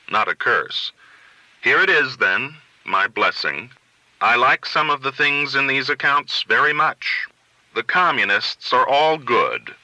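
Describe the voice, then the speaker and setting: A man reading literary fiction aloud as an audiobook narrator, in a deliberate, theatrical way, phrase by phrase. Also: mid-range voice, bright, forceful tone, no room sound, rough recording. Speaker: audiobook reader.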